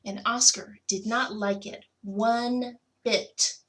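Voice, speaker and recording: Bright-voiced woman reading a picture book. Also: fairly high pitch, fairly even intonation, slow pace, rough recording. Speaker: school technology coordinator reading to children. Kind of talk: expressive children's picture-book read-aloud.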